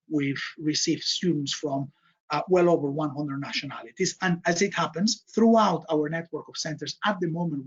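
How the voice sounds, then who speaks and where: A man with a Spanish accent gives an online presentation in a slightly high, husky voice. He stumbles through fillers and repeats key words for emphasis. The audio is muffled and lo-fi, like a video call. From Spain. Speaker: MBA programme director. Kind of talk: online information session presentation.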